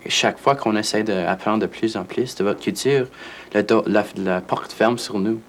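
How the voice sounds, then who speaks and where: A man's voice: lowish voice, moderate pace, slight background noise. A man, a native English speaker and French immersion student, speaking carefully in an interview as he searches for words.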